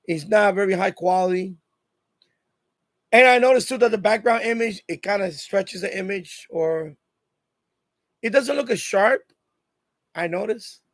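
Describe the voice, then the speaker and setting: High-pitched man chatting on a livestream. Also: measured pace, pauses often, fairly bright tone, no echo, clean studio sound. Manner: rambling, repeats himself. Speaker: livestream host. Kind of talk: casual live chat with viewers.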